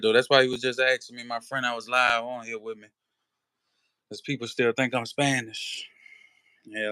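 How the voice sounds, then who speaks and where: Streamer, male, relaxed and slangy, tense bright tone, dry sound. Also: mid-range voice, clean tone, no room sound. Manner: laid-back, slangy, many "you know" fillers. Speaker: livestream host. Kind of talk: casual livestream chat.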